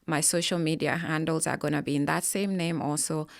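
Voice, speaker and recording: A woman's voice: low voice, fairly even intonation, brisk, gravelly, no echo, studio quality. A woman, a financial advisor, answering interview questions in an earnest, explanatory way with frequent fillers. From Kenya.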